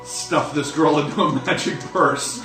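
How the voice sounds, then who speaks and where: A man's voice: fairly high pitch, measured pace, pushed and bright. Game master, male, casual joking narration with swearing, roomy distant pickup, music underneath. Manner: profane, joking. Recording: echoey room, distant mic, music.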